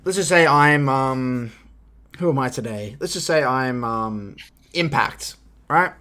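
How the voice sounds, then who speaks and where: A man co-hosting a podcast, talking in an animated, insistent way and acting out hypotheticals in casual debate. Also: mid-range voice, slow pace. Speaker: podcast co-host. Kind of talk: casual heated discussion.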